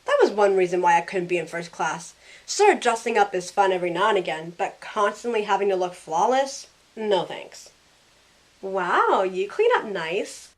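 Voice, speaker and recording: A woman's voice: mid-range voice, sing-song, moderate pace, natural voice. A young woman playing a sassy, loyal modern heroine, narrating in the first person in a wry, conversational way. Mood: fond, wry. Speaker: narrator and lead character. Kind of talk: first-person story narration with in-character dialogue.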